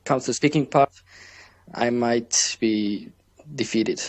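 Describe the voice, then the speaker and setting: Slow, halting Georgian-accented man. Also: medium pitch. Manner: halting, searching for English words with many restarts. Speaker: podcast guest. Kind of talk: casual interview chat.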